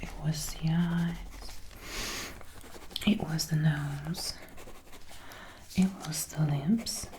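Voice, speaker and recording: Female ASMR artist, hushed and extremely unhurried, mellow low-ish tone, whispering close to the mic over faint hiss. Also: fairly even intonation. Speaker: ASMR creator acting out a personal attention measuring roleplay. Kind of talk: ASMR, soft spoken mixed with whispering. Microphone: close binaural microphone, ear to ear.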